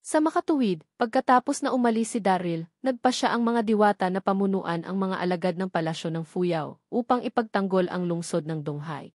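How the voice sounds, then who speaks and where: A woman's voice: mid-range voice, fairly quick, no echo, studio quality. A woman reading scripted novel narration as an audiobook narrator.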